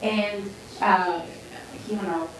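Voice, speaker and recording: Israeli-accented woman professor, slow halting lecture delivery, echoey hall. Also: medium pitch, steady tone, slight background noise. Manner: halting, many fillers. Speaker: guest speaker, professor. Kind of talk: public lecture.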